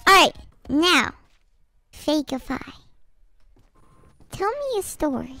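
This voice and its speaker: Very high-pitched kid hosting a game. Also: sing-song, slow pace. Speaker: host of a gaming story-time video. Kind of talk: playful banter while gaming. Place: online game voice chat. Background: music.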